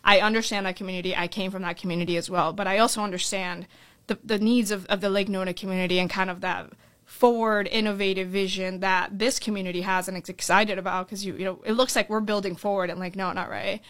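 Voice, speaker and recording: A woman's voice: mid-range voice, fairly even intonation, a bit bright, no echo. A young Colombian-born woman running for school board, talking earnestly in a podcast interview and often tagging her points with "right?". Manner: earnest, fast-flowing with frequent "right?" tags.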